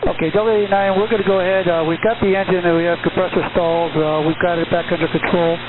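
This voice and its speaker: Higher-pitched male airline pilot on noisy radio. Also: level tone, fast talker. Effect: cockpit radio transmission. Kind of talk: radio report to air traffic control. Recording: narrow-band, noisy cockpit radio. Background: clear radio and aircraft noise.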